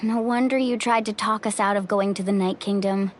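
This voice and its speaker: A woman plays a relaxed, frank young adventurer in a video game. Her mid-range voice is casual and chatty, over faint background noise. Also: medium pitch, brisk, lightly acted. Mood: musing.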